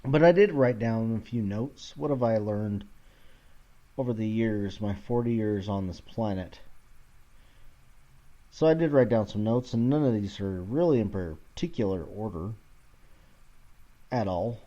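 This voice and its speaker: A man's voice: fairly low pitch, animated, measured pace, long pauses, dark tone, highs cut off. A man around forty rambling casually as a solo podcast host, irreverent, sweary and self-deprecating, recorded at home in his kitchen.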